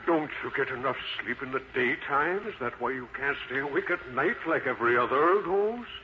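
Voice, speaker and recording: A man's voice: medium pitch, expressive, moderate pace, lightly acted. A man voicing a male ghost school principal in a storybook tale, questioning and admonishing in a theatrical manner. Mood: probing. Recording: dull, lightly noisy recording.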